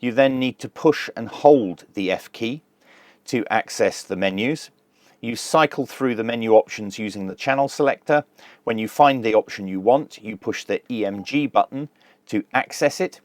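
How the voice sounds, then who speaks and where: A man reviews a piece of kit in a casual, hands-on way. His voice sits at an average male pitch and is recorded very dry, with no room sound. He explains things plainly, step by step, with a streak of dry humour. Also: moderate pace, no room sound. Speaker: product reviewer.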